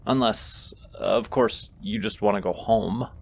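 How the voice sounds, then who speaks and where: A man playing a gentle, easily flustered wizard in a comforting fantasy audio roleplay, tender, soft-spoken and intimate. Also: on the low side, unhurried, vocal fry, breathy edge, heavily acted. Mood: fond, pleased. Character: gentle, flustered male wizard comforting a companion he secretly adores.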